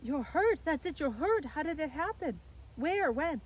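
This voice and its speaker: A woman voicing an excitable, fretful Italian mother in a storybook, exclaiming and repeating herself as she fusses. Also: high voice, a little slow, voice pushed up. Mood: alarmed, fretting. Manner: exclamatory, repetitive, fussing.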